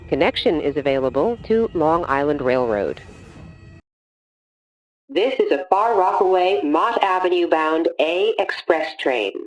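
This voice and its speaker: Woman, slightly low voice, slow pace, scripted transit announcement, formal, measured phrasing. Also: dull audio. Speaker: subway train announcer.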